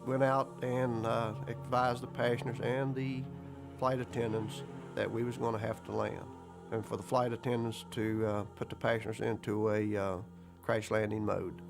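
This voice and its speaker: A man's voice: fairly low pitch, level tone, moderate pace, vocal fry. A man, an airline captain, recalling events in a documentary interview in a plain, matter-of-fact way with folksy grammar. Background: background music.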